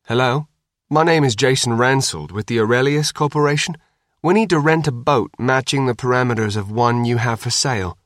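A male audiobook reader acts out a businesslike man on a call. He is polite and brisk, with polished phrasing. The recording is close and dry. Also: mid-range voice, a bit bright, dry recording, lightly acted. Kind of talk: audiobook character dialogue.

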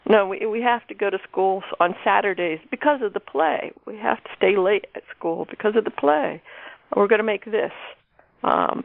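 A woman speaks casually as an interviewed author, her voice creaky and middling to low. The sound is thin and phone-like, with no room around it. She explains things in a conversational way. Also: no room sound. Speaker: guest author discussing own novel. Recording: narrow phone-like line.

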